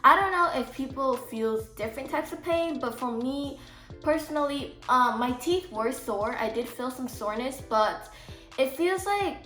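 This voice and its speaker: A woman's voice: high voice, expressive, unhurried, fairly bright tone. Chatty woman with a slight braces lisp. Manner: chatty, rambling, heavy use of "like". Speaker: vlogger. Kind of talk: casual advice vlog. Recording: echoey room with faint music.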